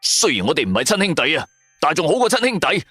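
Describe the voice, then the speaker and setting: A man's voice: fairly high pitch, big pitch swings, fast, pushed and bright, slightly rough, no echo, faint music behind, pitched above the performer's own voice, exaggerated voice. A man voicing a brash, loyal male buddy hungry for riches, exclamatory and slangy, in a radio drama. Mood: earnest loyal. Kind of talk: Cantonese radio drama acting.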